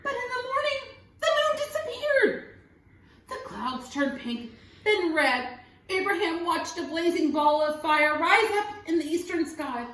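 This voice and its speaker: A woman with a high, very animated voice reads a children's picture book like a teacher at storytime. She is expressive and often stops to ask questions or point at pictures. The room around her sounds echoey. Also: unhurried, recorded from a distance. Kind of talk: animated read-aloud storytelling for young children.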